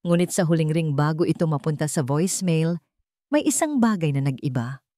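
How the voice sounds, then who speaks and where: A woman's voice: low-pitched, animated, brisk, mellow, no room sound, studio quality. A woman narrating a scripted dramatic story.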